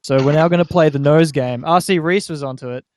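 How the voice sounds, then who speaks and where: A man's voice: on the high side, a little fast, a little mellow, no room sound, clean studio sound. A man hosting a casual podcast chat. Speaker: podcast host.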